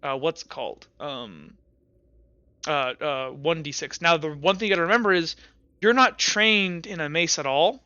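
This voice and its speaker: A young man running a tabletop game as game master, narrating scenes and explaining rules in a halting way with many fillers and restarts. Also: on the high side, animated, pushed and bright, dry recording, dull audio.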